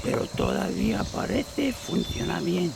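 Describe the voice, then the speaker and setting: A man putting on a high, gravelly voice as a grumbling video diarist in a comedy sketch, with music playing. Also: animated, normal speed, heavily acted.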